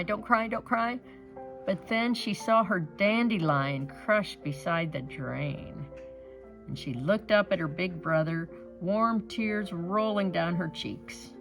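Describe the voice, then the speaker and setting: A woman reads a children's picture book aloud with expressive, dramatic storytelling. Her voice sits on the low side for a woman yet rises and falls very freely, and faint music plays underneath.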